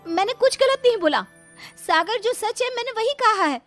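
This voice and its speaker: Outspoken young daughter-in-law for a moral story, played by a woman: high, challenging, indignant, refuses to back down. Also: lively intonation, normal speed, a bit bright, soft background music. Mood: pleading, insistent. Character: defiant educated young city daughter-in-law. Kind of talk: Hindi moral story character voice.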